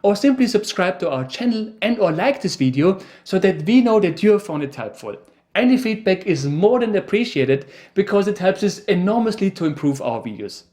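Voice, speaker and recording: A man's voice: high-pitched, sing-song, normal speed, darkish tone. A man with an Austrian accent, presenting an educational video as the science expert, with dry, teasing humor.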